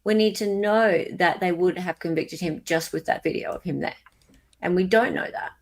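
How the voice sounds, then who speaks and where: A woman's voice: lowish voice, moderate pace. A woman with an Australian accent hosting a casual panel discussion, agreeing and restating points to check them.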